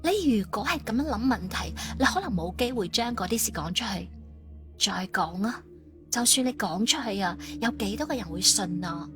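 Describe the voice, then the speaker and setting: A woman's voice: mid-range voice, expressive, moderate pace, music in the background, lightly acted. A woman playing an earnest, determined young female agent in an audiobook, serious and insistent. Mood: cool, insistent. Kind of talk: audiobook character acting.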